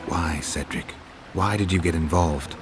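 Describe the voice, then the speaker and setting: A gruff monster hunter from a fantasy video game, with an extremely low, gravelly voice. He is stoic and terse, holding himself back, with faint music underneath. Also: measured pace. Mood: grave concern. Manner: terse, restrained. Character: gruff stoic monster-hunting male witcher.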